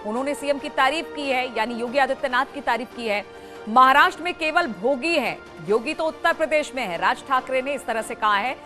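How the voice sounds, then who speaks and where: A woman's voice: high voice, brisk, pushed and bright. A woman news anchor reading broadcast news in an emphatic, formal way, restating the key points. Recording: news music bed behind the voice. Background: news music.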